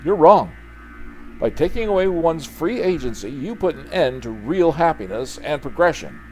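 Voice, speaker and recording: Man reading as a bold, defiant superhero, animated delivery. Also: fairly high pitch, moderate pace, soft background music, voice pushed up. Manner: punchy, emphatic, melodramatic. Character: bold defiant male superhero commando, wisecracking and righteous. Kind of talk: dramatic comic book reading.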